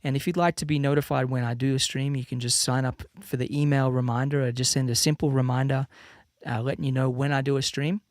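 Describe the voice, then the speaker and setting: Australian-raised man, 30s, half-American accent, easygoing livestream host, mid-pitched, dry mic, soft music underneath. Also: fast, no echo. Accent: mixed Australian-American. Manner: relaxed, rambling, many fillers and restarts. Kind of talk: casual chat to viewers. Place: home room with a slight added reverb.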